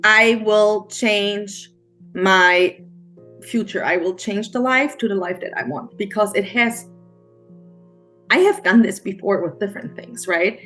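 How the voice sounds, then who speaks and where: A middle-aged woman with a German accent, a mindset coach, telling her story as an interview guest in a halting, earnest way with many restarts. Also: mid-range voice, a bit bright, soft background music. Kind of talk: emotional personal storytelling interview.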